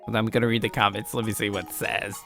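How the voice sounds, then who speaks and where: A man's voice: level tone, fast talker, gravelly, bright, forceful tone. A man livestreaming a let's play, reading story narration aloud with casual asides, often stumbling and rereading phrases. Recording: low-quality stream audio, music behind. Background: music.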